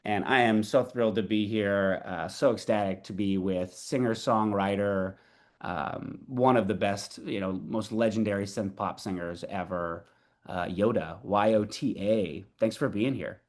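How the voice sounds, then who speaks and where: A man's voice: fairly low pitch, unhurried. A man hosting a music interview show, enthusiastic and casual, with many ums and you-knows. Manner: enthusiastic, lots of fillers like um and you know. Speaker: radio show host.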